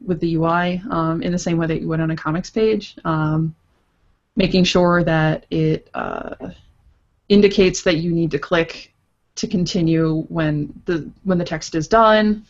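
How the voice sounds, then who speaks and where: A woman's voice: low voice, expressive, measured pace, muffled recording. A woman explaining her work casually as an interview guest, in hesitant, stop-and-start phrasing. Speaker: guest, visual novel creator.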